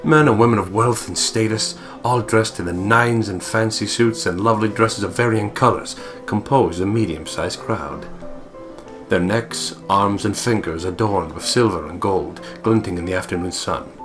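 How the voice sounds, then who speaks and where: A man reading visual novel text aloud as a deliberate, storyteller-like narrator. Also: fairly low pitch. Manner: deliberate, storyteller-like, playful putting on of voices. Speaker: gaming video co-player reading the text aloud. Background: game music.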